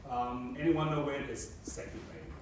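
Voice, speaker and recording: A man's voice: medium pitch, steady tone, normal speed. A man in his thirties from Hong Kong, giving a conversational public talk to students and speaking to them directly. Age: 30s. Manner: conversational, addressing the audience directly. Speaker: guest speaker. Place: lecture room. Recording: roomy, distant mic with background noise.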